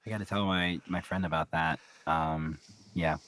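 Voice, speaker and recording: A man's voice: very deep voice, fairly even intonation, normal speed, a bit bright, dry recording. A man co-hosting a podcast, chatting playfully and often laughing. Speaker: podcast co-host. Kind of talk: casual chat.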